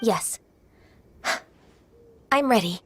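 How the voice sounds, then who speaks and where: A gentle young immortal woman from a video game, with a fairly high voice. She is polite, formal and a little hesitant, over clear background music. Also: lively intonation, very slow. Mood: hesitant, apologetic. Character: gentle, earnest, formal young half-human adeptus woman. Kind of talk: English video game voice acting.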